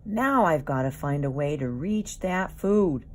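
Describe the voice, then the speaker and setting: Woman as a moaning, lazy Lystrosaurus, slow and loud. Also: low-pitched, expressive. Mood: miserable, pleading.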